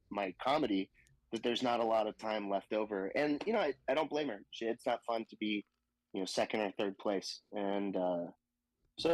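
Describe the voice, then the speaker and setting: A man's voice: medium pitch, no room sound, poor audio. A young man and stand-up comedian talking casually on a podcast, laughing often and joking crudely. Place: Austin, Texas.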